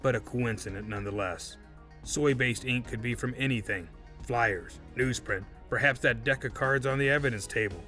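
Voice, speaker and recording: A slippery, falsely polite suspect played by a man gives courtroom testimony in an audio drama. His voice is forward and crisp-edged, with precise, condescending phrasing. Soft music runs behind him. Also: medium pitch, normal speed, lightly acted. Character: smug evasive male suspect on the witness stand, falsely polite.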